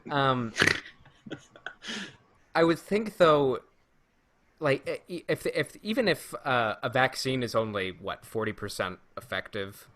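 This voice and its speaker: A man's voice: mid-range voice, slow, pauses often, a bit bright. A man co-hosting a podcast, talking casually in an informal group discussion. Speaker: podcast host.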